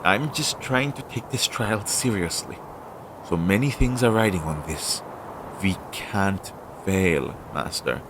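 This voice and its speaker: Audio drama familiar, male actor: nervous, self-doubting and devoted, slow hesitant delivery in a low register, clearly noisy recording. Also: expressive. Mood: worried. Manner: hesitant, halting, fretful. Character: anxious, self-doubting magical familiar devoted to his master. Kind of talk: scripted audio roleplay, one-sided dialogue. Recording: low-fidelity audio with clear background noise.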